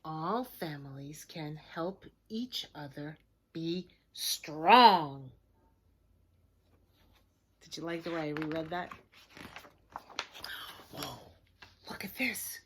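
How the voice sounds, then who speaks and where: A woman reading a children's picture book aloud like a teacher, in a deliberate, expressive way, with hushed chatty asides to her listeners. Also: deep voice, breathy edge. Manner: slow, expressive, simple deliberate phrasing.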